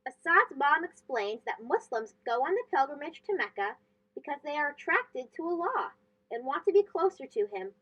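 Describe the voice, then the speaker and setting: A woman reading a children's storybook aloud as host, expressive and phrased for young listeners. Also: sits high, moderate pace, highs cut off.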